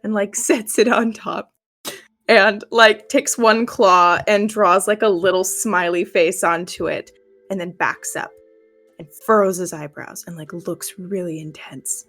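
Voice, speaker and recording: A woman playing a tabletop roleplaying game, improvising her character's actions in a playful, halting way. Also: medium pitch, steady tone, a bit bright, soft background music.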